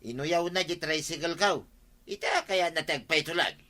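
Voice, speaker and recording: A man's voice: a little high, normal speed, bright, forceful tone. A man playing a complaining tricycle driver in an Ilocano comedy radio drama, grumbling and protesting.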